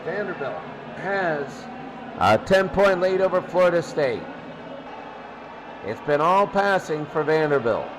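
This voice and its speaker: Male football score host, high voice, unhurried clipped fragments, noisy recording. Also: expressive. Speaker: sports studio host. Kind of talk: off-the-cuff college football score commentary. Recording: clearly noisy background.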